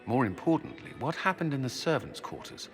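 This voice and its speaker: A man's voice: mid-range voice, big pitch swings, brisk. An actor voicing a blunt, practical male military commander in a video game, speaking directly and firmly. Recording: game dialogue over music.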